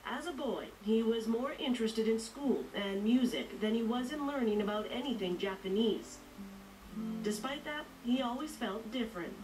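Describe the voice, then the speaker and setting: A woman reporter delivering scripted news narration in a measured, even way. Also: on the high side, over music. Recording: distant voice with noticeable noise.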